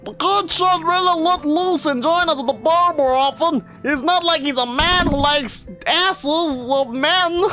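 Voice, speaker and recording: Man voicing rowdy bar mercenaries, teasing, sing-song, raised pitch. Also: unhurried, hardly any pauses, bright, forceful tone, soft background music, heavily acted.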